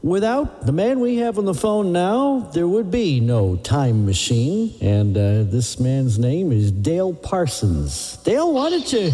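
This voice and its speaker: A man's voice: fairly high pitch, very animated, measured pace, few pauses, warm tone, a little hiss or hum. A man hosting a live radio oldies show with jokey, ad-libbed patter from the studio.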